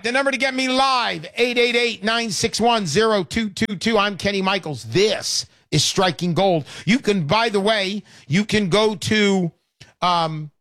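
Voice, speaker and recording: Energetic high-voiced older radio host. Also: male voice, dry recording, studio quality. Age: 60s. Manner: energetic, salesy, emphatic. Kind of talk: call-in radio talk. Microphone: studio microphone.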